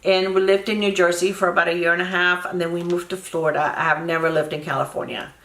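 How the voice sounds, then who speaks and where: A woman's voice: on the low side, little pitch movement, quick pace, fairly bright tone. A Cuban-born woman in her sixties, chatting candidly to camera as a beauty vlogger, rambling with many fillers. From Cuba. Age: 60s. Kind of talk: casual chat to camera. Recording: echoey room.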